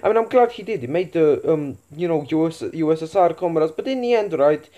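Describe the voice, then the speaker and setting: A man's voice: a little high, expressive, brisk, mellow, muffled recording, natural voice. A man playing a foul-mouthed Soviet commander. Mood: crude mocking. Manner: profane and mocking, says "comrades" constantly. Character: crude, boastful male Soviet commander persona. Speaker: reaction video host. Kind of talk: comedic video reaction commentary.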